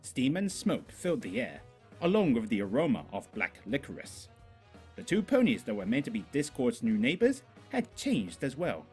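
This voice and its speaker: A man's voice: medium pitch, huge pitch swings, normal speed, a little mellow, faint music behind. A man reading a comic story as its narrator in a scripted style.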